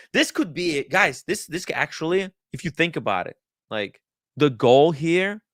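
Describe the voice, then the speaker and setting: A man hosting a livestream in casual talk, haltingly thinking aloud as he goes. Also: mid-range voice, fairly bright tone, clear, resonant tone, dry recording, clean studio sound. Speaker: livestream host. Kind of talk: casual livestream chat, reading viewer comments and riffing.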